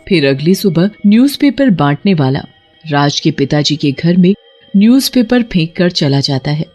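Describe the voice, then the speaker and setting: Mid-pitched horror-story narrator. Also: a little mellow.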